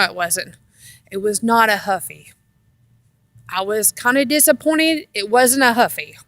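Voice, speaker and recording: High-voiced woman telling rambling stories slowly. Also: fairly bright tone, no echo. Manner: halting, meandering, repeats herself. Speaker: storyteller on own video channel. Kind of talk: casual rambling personal storytelling.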